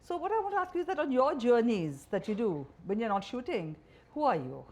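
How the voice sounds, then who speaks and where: Indian lady interviewer, highish voice, really up and down, chatty questions. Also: big pitch swings, fairly quick, darkish tone. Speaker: interviewer, host.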